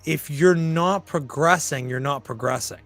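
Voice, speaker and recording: A man's voice: on the high side, unhurried, a bit bright, faint music behind. A man hosting a podcast in a casual chat, telling stories, swearing freely and acting out his own reactions. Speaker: podcast host.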